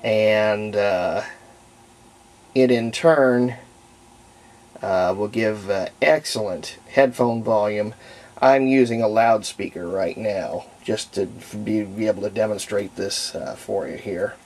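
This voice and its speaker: A middle-aged American man giving a casual, unscripted show-and-tell as a hobbyist, in a halting, folksy way, often trailing off mid-phrase. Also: fairly low pitch, steady tone, a little mellow. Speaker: hobbyist presenter demonstrating a homebuilt radio. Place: indoors at a cluttered hobby desk. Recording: faint background noise.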